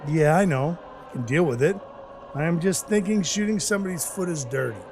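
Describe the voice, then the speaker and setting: A man playing a gruff, soft-hearted dwarf who works as Santa's helper, narrating his own story in an audio play in a wry, hard-boiled way. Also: a little high, expressive, fairly quick, a little hiss or hum. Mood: wry, weary. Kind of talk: first-person audio play narration and dialogue. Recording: music under the voice. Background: music.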